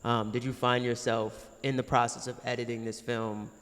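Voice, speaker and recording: A man's voice: medium pitch, little pitch movement, moderate pace, fairly bright tone, smooth. A man hosting a podcast interview, asking long, rambling questions in a casual way. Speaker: podcast host. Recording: long reverb on voice.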